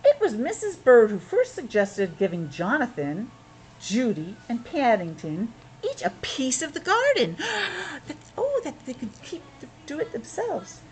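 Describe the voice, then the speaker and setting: A woman's voice: fairly high pitch, big pitch swings, a little slow, rough recording. A woman reading a picture book aloud to young children as a teacher, breaking off for chatty asides, explanations and questions. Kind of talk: read-aloud storytelling with casual asides.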